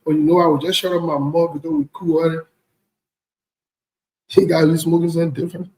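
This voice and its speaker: A West African man with a fairly high voice rants about politics in an echoey room. He speaks bluntly and indignantly, hammering phrases through repetition. The delivery is unscripted, like an online commentator. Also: darkish tone. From Ivory Coast.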